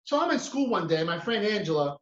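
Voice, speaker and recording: A man from the United States doing a stand-up comedy routine. He tells his stories with animated energy and acts out other people's voices. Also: sits high, brisk, reverberant, dull audio, slight background noise. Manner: animated storytelling, acts out his mother and friend.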